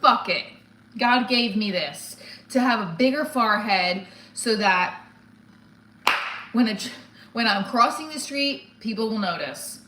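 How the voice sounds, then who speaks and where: A woman's voice: a little high, animated, unhurried, long pauses, sharp tone. Rambling woman in a roomy, echoey space. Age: 40s. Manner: rambling, blunt, candid, often breaking off mid-thought. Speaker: stand-up comedian livestreamer. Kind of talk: casual livestream chat. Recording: roomy echo, some background noise.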